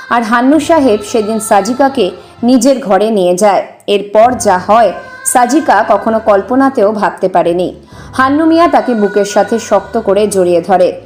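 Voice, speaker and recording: A woman's voice: mid-range voice, a little mellow. A woman giving scripted narration for a dramatised audio story.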